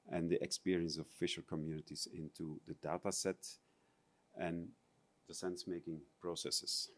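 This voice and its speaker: Low-voiced Belgian man presenting. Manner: hesitant, many fillers and restarts, non-native phrasing. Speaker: conference presenter. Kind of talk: conference presentation.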